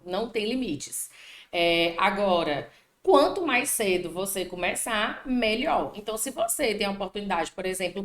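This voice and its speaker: A woman language teacher from Brazil with a Piauí accent, answering interview questions in a chatty way and often adding "né?". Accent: Piauí Brazilian Portuguese. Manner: talkative, frequent "né?" tags, animated pitch jumps. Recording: echoey room.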